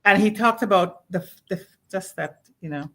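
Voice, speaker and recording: A woman co-hosting a casual discussion, speaking in a hesitant way with repeated words and halting phrases. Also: mid-range voice, a bit bright. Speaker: co-host.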